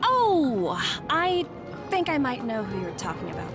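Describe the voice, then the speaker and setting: A woman's voice: high voice, sing-song, a little slow, heavily acted. An actress voicing a confident, gracious young woman executive in a video game, polite and breezy. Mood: amused. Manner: breezy, polite, self-assured. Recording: game music behind the voice.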